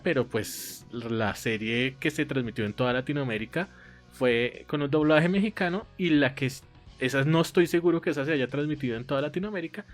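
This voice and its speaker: A man talks in a relaxed, conversational way on a podcast, stopping often to gather his thoughts. Soft music sits faintly under his voice. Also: medium pitch. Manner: conversational, hesitant with many fillers. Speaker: podcast co-host. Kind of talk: casual podcast discussion.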